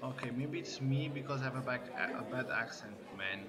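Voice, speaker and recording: Halting man over loud crowd noise. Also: medium pitch. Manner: halting, with frequent restarts and hesitations. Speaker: game developer. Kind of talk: casual live-stream chat. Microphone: computer microphone set quiet.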